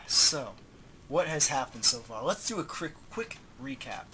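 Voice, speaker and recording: A man's voice: mid-range voice, sing-song, measured pace, bright, forceful tone, a little hiss or hum. A man with a stuffed-up nose and a slightly sore throat, casually walking through a strategy game tutorial in an offhand, slangy way while clicking through menus. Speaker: gaming tutorial host.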